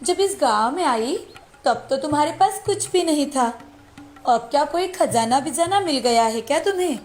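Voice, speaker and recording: A woman's voice: high voice, animated, moderate pace, soft background music. A woman voicing a greedy, nosy village headman's wife in a storybook tale, taunting and exclamatory. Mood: mocking, nosy.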